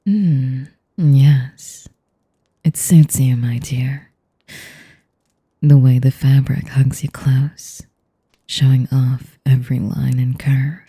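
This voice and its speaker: A woman playing a sadistic, seductive vampire noblewoman in an intimate audio roleplay, breathy and whispery, formal and teasing in manner. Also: very deep voice, steady tone, warm tone, dry recording, heavily acted. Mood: silky, appraising. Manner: slow, formal, teasing, savoring each phrase.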